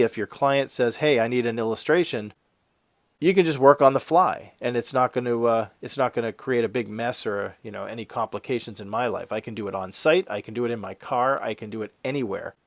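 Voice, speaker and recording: A man hosting an art vlog in a casual, conversational and explanatory way, his voice congested and a little raspy from a head cold. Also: mid-range voice, no echo. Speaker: YouTube art channel host.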